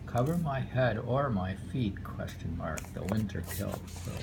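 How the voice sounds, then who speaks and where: A man reading haiku aloud with casual commentary, in an unhurried, musing way with pauses between phrases. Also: fairly low pitch, animated. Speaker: poetry reader and commentator. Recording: clearly noisy home recording with ambience.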